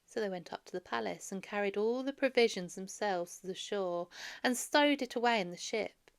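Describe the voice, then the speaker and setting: A woman's voice: medium pitch, normal speed, clear, resonant tone. A woman reading a story aloud for children as a narrator. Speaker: storybook reader.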